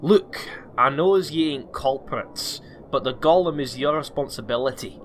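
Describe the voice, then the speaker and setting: A man's voice: mid-range voice, a little slow, fairly bright tone, a little hiss or hum, natural voice. A man playing a blunt, sweary but caring dwarf doctor with a Scottish accent in a fantasy audio drama. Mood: stern, kindly.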